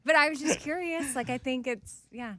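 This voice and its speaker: A woman's voice: sits high, normal speed, a bit bright, dry recording, studio quality. A woman in her late thirties co-hosting a radio show, asking the guest curious questions. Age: 30s. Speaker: radio co-host. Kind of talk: casual studio chat. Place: radio studio.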